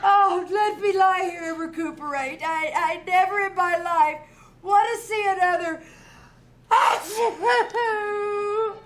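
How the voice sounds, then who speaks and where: A woman's voice: very high-pitched, slow, a bit bright, exaggerated voice. A woman acting a dramatic, miserable wife in a live radio comedy, moaning and sneezing with allergies. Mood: miserable, wailing. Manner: wailing, moaning, exaggerated. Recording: noisy live theater stage.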